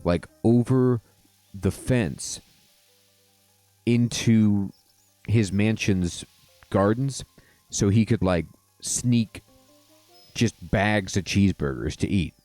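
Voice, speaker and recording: A man hosting a casual let's-play, telling stories in a rambling, drawn-out way with wry asides. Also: on the low side, a little mellow, no echo, soft background music. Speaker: gaming host.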